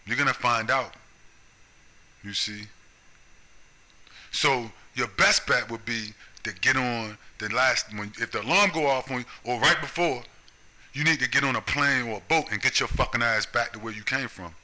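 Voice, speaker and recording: A man's voice: medium pitch, normal speed, sharp tone, low-quality recording. An American man delivering a confrontational, profane solo monologue, punctuating his points with "right?" and "you see?". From United States. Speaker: video commentator.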